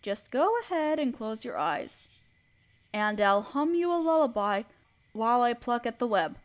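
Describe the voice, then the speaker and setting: Woman doing a motherly spider queen for an audio roleplay, kinda high soft voice, gentle n regal, soothing. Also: very animated. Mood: soothing, tender. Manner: soft, soothing, deliberate storytelling. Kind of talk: comforting roleplay audio drama.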